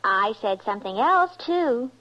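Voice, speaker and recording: High-voiced nagging housewife, vintage radio. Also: female voice, lively intonation, measured pace. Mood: exasperated. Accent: American. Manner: insistent, chattering. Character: nagging, chatty, exasperated American housewife. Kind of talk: 1950s radio advertisement sketch acting. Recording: muffled vintage radio recording.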